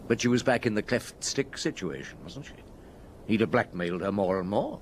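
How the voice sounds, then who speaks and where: An actor playing a shrewd, compassionate middle-aged chief inspector in a radio drama, measured and probing. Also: male voice, fairly low pitch, animated, a little hiss or hum, natural voice. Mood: reflective. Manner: measured, probing, quietly sympathetic.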